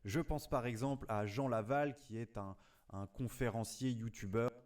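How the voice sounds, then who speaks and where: A man's voice: medium pitch, moderate pace, a little mellow. A man reading scripted narration with a dry, sarcastic edge. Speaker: video narrator.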